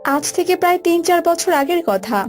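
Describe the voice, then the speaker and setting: High-pitched woman narrating a cartoon story. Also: expressive, normal speed. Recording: music bed behind voice.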